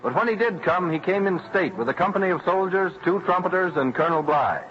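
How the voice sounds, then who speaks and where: An actor narrating a historical radio drama in a solemn, scripted old-time style. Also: male voice, high voice, animated, fairly quick. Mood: solemn, dramatic. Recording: muffled old radio recording. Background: faint music.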